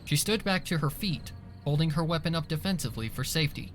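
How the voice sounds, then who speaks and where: A man's voice: on the high side, lively intonation, fast. A man reading scripted dramatic narration for a fan fiction story. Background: soft music behind the voice.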